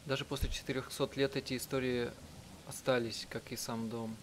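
A man reading out a live translation of a visual novel in a halting, self-correcting way. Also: medium pitch, flat delivery, a little hiss or hum. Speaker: let's player reading out translations. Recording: music behind the voice. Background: game music.

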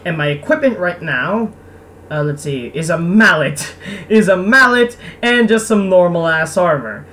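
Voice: mid-range voice, lively intonation, a little slow, sharp tone, a little hiss or hum. A gamer giving casual gameplay commentary in a sarcastic, foul-mouthed, grumbling way. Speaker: let's player. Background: game music.

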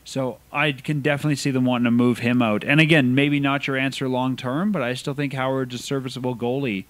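A man's voice: medium pitch, flat delivery, a little fast, no echo. Questioning Canadian podcast man. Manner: asks questions, thinks aloud. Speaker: podcast co-host. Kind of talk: casual sports chat.

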